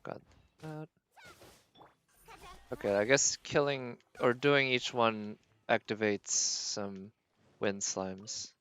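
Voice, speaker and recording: A man's voice: on the low side, steady tone, slow, a bit bright, clear, resonant tone, no echo. Casual male game streamer thinking aloud. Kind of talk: casual live gameplay commentary.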